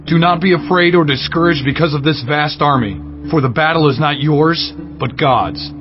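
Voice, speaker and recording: A man's voice: fairly high pitch, moderate pace, lightly acted. Commanding ancient herald voiced by a man, emphatic delivery, dull recording with prominent music. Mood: bold assurance. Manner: proclaiming, emphatic. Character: urgent, commanding Levite prophet and herald of ancient Judah. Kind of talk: dramatized audiobook acting.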